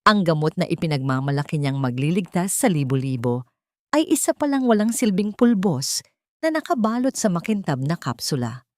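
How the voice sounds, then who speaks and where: A woman narrates a dramatic story as an audiobook. Her voice sits a little low, and the recording is dry, with no room sound. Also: very animated, moderate pace, a little mellow, no room sound.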